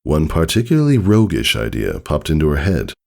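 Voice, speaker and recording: A man's voice: very deep voice, wildly swooping pitch, fairly quick, creaky. A man reading a story aloud as a scripted narration. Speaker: fan fiction reader.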